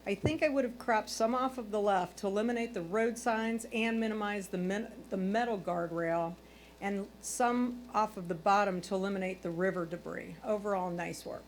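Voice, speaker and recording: A woman's voice: mid-range voice, moderate pace. A woman working as a photo competition judge, reading her own prepared critiques in a constructive, encouraging manner. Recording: clear background noise, hum.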